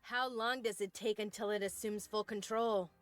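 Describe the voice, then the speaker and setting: A woman's voice: medium pitch, steady tone, moderate pace, faint music behind, close to an everyday voice. An actress playing a flippant, world-weary young woman soldier in a game cutscene, wry and nonchalant.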